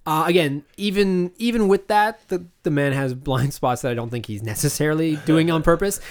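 Higher-voiced man chatting casually about books. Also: a little fast. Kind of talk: casual book chat.

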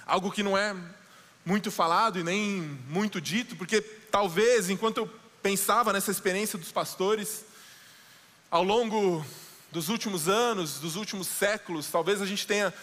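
A man preaching a sermon as pastor, slowing down and dropping low for dramatic quoted lines. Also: fairly bright tone, big-room sound. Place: church sanctuary.

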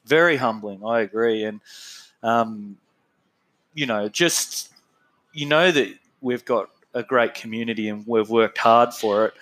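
A man's voice: medium pitch, unhurried, fairly bright tone, rough recording. An Australian man in his thirties, an interview guest talking casually and laughing often, with plenty of fillers. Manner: laid-back, rambling, laughs often, lots of fillers.

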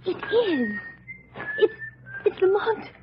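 Casting: urgent, melodramatic young woman, high animated voice, 1940s radio drama. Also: slow pace, muffled recording, noisy background, heavily acted. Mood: fearful. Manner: urgent, breathlessly insistent, melodramatic. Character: desperate, determined young woman searching for her man. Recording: band-limited old radio recording.

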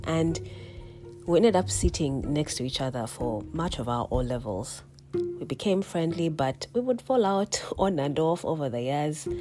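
A Ugandan woman with a very deep voice hosts her own podcast, telling personal stories in a reflective, easygoing way. Her voice is a little croaky from a recent cold. Music plays clearly under her speech. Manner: warm reflective storytelling, quick chuckles. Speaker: podcast host.

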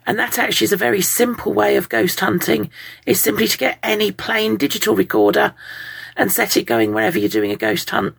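A woman, croaky from a summer cold, calmly explaining a topic to podcast listeners. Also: medium pitch, brisk. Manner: steady, explains terms plainly, apologetic about her voice. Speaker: podcast co-host. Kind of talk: casual explanatory talk.

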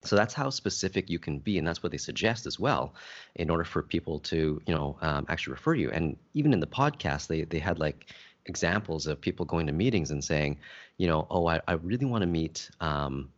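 Super deep voiced guy chatting loosely, lots of ums, kinda dull audio. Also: animated, a little fast. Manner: chatty, many fillers and restarts. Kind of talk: casual conversation.

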